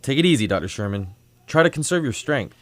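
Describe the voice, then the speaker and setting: A man acting a reluctant superhero in a radio play, sincere and unsure of himself. His dramatic delivery swings widely in pitch, recorded close and dry. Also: big pitch swings, quick pace, no room sound, natural voice. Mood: reassuring.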